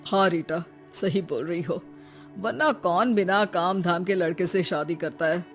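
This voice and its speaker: A woman voicing a calm, agreeable older husband in a cartoon story. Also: on the low side, unhurried, soft background music, voice pushed down.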